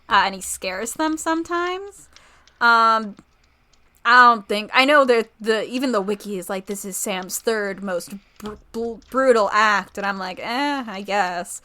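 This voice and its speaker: A woman's voice: medium pitch, pushed and bright. A woman podcast co-host chatting casually about a TV show in an informal, rambling way, often correcting herself.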